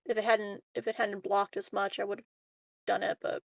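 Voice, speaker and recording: Creaky-voiced woman playing a tabletop game. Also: medium pitch, flat delivery, moderate pace. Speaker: player. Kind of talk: casual tabletop game chat.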